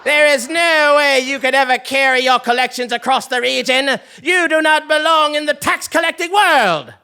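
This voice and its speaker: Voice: high voice, normal speed, sharp tone. A performer playing a proud, snobbish, indignant royal tax collector in a live comic stage audio play, with a blustering, theatrical manner. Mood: scornful, proud.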